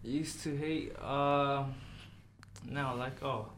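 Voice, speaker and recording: A man's voice: mid-range voice, steady tone, drawn-out words, fairly bright tone, a little hiss or hum. A young man taking part in a casual radio chat. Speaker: radio show participant.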